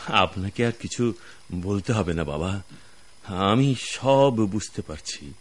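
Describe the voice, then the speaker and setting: An actor playing an ailing, humble old father in a radio drama, speaking in a halting, gentle, pleading way. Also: lowish voice, lively intonation. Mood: sorrowful, resigned. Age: older.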